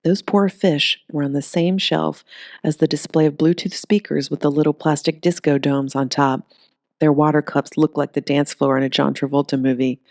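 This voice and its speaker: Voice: medium pitch, little pitch movement, normal speed, darkish tone, no echo, clean studio sound. An audiobook narrator reading a scripted story.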